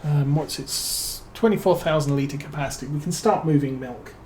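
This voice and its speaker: A man with a British accent giving relaxed let's-play commentary as a streamer, musing and thinking aloud with plenty of fillers. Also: fairly high pitch, moderate pace, slight background noise. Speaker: game streamer.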